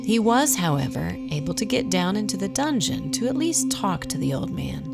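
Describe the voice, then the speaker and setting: A woman's voice: medium pitch, big pitch swings, moderate pace, gravelly. A woman reading scripted story narration for a radio drama. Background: music.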